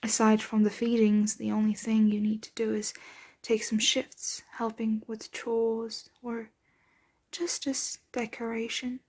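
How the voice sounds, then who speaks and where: ASMR roleplay: motherly, wealthy female vampire played by a woman, soft whispers, highish voice with a creaky edge, gently soothing. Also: monotone. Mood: patient, persuasive. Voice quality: soft, breathy, often whispered. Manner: tender, coaxing, genteel, unhurried.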